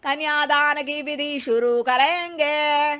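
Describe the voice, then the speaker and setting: A woman voicing a solemn wedding priest in a cartoon story, with a drawn-out, ceremonial delivery. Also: high voice, fairly even intonation, pushed and bright, voice pushed up, full character voice. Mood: solemn, chanting.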